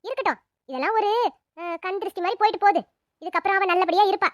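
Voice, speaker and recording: A commanding older neighbour woman, played by a woman, in a cartoon drama. The voice is high and fast, and the delivery is loud and reassuring. The recording is dry. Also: fairly even intonation, no echo. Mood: firm.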